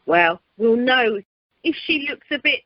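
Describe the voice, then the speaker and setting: Woman as a fairly high-voiced wry holiday rep. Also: animated, unhurried, heavy background noise. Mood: wry, gossipy. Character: wry, quick-witted female holiday rep. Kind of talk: amateur radio comedy acting. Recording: dull telephone conference line.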